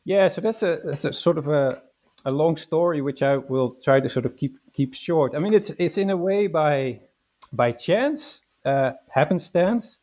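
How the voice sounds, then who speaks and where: Hesitant Dutch-born historian, mellow voice. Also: male voice, fairly high pitch, lively intonation, a little slow. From Netherlands. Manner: hesitant, many fillers and restarts. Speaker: historian guest. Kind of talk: academic interview.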